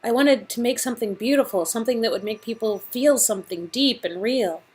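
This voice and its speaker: A woman's voice: fairly high pitch, brisk, darkish tone, breathy edge. A woman reading the part of a starry-eyed, earnest young would-be actress in dialogue from a visual novel game.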